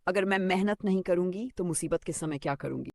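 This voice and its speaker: A narrator as a gentle little cartoon bird, slightly creaky. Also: medium pitch, brisk, no room sound, studio quality, natural voice. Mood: gentle, earnest. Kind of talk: animated children's storybook cartoon.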